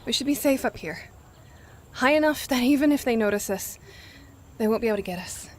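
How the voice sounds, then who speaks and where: A woman playing an anxious, fragile apocalypse survivor in an audio drama, soft-spoken and halting. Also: fairly high pitch, animated, slight background noise, natural voice. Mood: tense.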